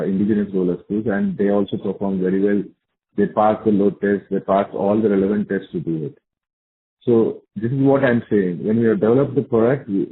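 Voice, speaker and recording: A man's voice: fairly low pitch, slight background noise. A man with an Indian accent speaks as a company executive answering questions on an earnings call, assured and repeating key points. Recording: narrow tinny phone conference line.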